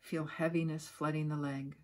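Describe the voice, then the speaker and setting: A woman guiding a yoga nidra relaxation in a soft, breathy, soothing voice, giving gentle instructions with long pauses. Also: low voice, little pitch movement. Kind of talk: guided relaxation meditation.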